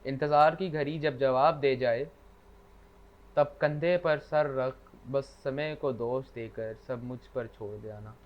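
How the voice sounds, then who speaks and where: A man's voice: mid-range voice, fairly even intonation, slow pace. A man co-hosting a podcast, chatting casually and reciting bits of verse over an online call. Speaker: podcast co-host. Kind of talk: casual chat, reciting couplets. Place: remote internet call. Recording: dull lo-fi online call, faint noise.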